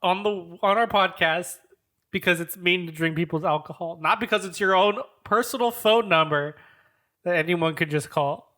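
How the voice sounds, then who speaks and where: A woman's voice: fairly low pitch, normal speed, a bit bright. A young woman hosting a casual podcast, teasing her guest, laughing along and reading out listener questions. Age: young adult. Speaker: podcast host.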